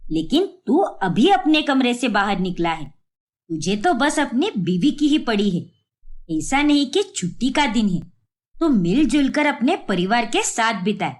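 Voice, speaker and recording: A woman's voice: on the high side, very animated, a little slow. Woman acting a nagging, wounded mother-in-law. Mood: scolding. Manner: scolding, complaining.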